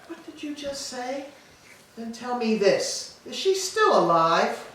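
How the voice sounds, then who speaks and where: A woman's voice: a little high, very animated, takes it slowly, fairly bright tone, exaggerated voice. A woman playing a grieving, proud old enslaved queen, declaiming laments in a staged reading of Greek tragedy. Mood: troubled. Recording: distant, echoey hall with background noise.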